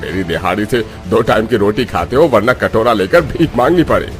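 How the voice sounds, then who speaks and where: An actor playing a greedy, bullying orchard owner in a cartoon story. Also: male voice, on the low side, expressive, fairly quick, soft background music, natural voice. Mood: scornful. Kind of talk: cartoon villain dialogue.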